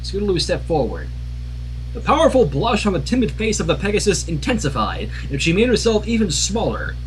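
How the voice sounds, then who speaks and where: A man reading fan fiction aloud as animated narration, with casual asides. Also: sits high, fairly quick, slight background noise, poor audio.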